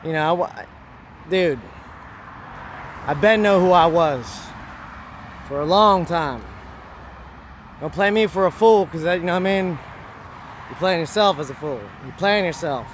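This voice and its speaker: A man with a hoarse voice, talking to camera in a rambling, repetitive, preachy vlog monologue. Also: fairly high pitch, measured pace, pauses often, slight background noise. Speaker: vlogger.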